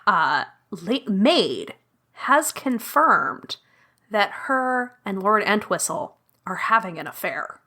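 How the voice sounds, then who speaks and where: A woman playing as a guest in a tabletop mystery game, chatting casually. Her voice is bright and crisp, and her pitch moves a lot as she talks. She laughs often. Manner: lively and quick to laugh. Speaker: guest player. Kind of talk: casual game play chat.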